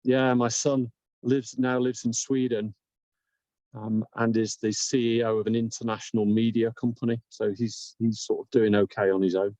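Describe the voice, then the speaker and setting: A man in his fifties with a northern English accent, introducing himself on a video call in a measured, gently self-deprecating way. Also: medium pitch, level tone, dry recording, poor audio. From northern England. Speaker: business owner, guest.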